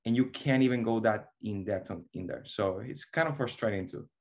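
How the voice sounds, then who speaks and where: A man's voice: on the low side, normal speed, gravelly, a little mellow. Young man. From Venezuela. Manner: earnest, hesitant restarts, many fillers. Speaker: guest, online fitness coach. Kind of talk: casual video-call interview. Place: small room at home. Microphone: webcam or laptop mic on a video call.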